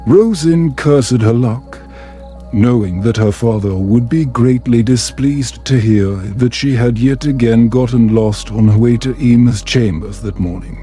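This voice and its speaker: A man narrating a fantasy bedtime story in a calm, soothing, measured way. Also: mid-range voice, faint music behind. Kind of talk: calm scripted fantasy audiobook narration for sleep.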